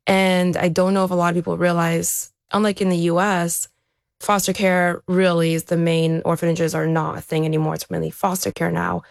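A woman speaks as an interview guest, reflecting on personal experience in a conversational way. Her voice sits on the low side with plenty of vocal fry, and the recording is dry. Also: flat delivery, normal speed, no echo. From born in Ukraine, raised there to age seven, then America. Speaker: interview guest, elite athlete.

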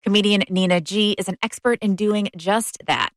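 A woman's voice: medium pitch, moderate pace, no echo. An American woman hosts a public radio interview with polished, articulate phrasing. Her voice is recorded very dry, as in a studio, with no room sound around it. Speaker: radio show host.

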